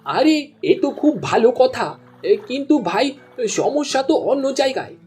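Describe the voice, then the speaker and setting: Woman voicing a soft-toned, high village fisherman, cartoon. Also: sing-song, normal speed, faint music behind. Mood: friendly. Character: friendly male village fisherman, cautious sidekick. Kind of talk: cartoon dubbing.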